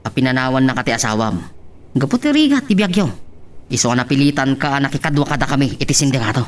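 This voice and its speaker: A man's voice: medium pitch, animated, fast talker, lightly acted. A man acts a callous, taunting gangster with an Ilocano accent in a radio drama. His mid-range voice sneers and mocks as he talks. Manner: mocking, sneering. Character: taunting, callous male crime-syndicate accomplice named Goryo.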